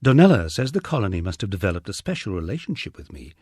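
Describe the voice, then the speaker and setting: Low, husky man narrating, very expressive. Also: fast talker, dry recording, clean studio sound. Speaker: audiobook narrator. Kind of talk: first-person memoir narration.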